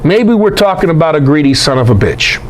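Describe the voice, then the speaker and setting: A man's voice: fairly high pitch, big pitch swings, brisk, slight background noise. A man giving an informal, irreverent scripture lecture in an emphatic way, often putting rhetorical questions to his listeners. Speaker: Bible teacher, presenter.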